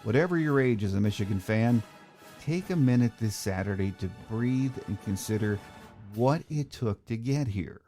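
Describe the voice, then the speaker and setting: A man's voice: fairly low pitch, expressive, moderate pace, warm tone, soft background music. A middle-aged man hosting a sports podcast, delivering a scripted monologue. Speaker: podcast host.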